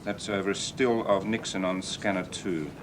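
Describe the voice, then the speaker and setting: Man, TV director, low voice, brisk and technical, clear background hum. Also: fairly even intonation.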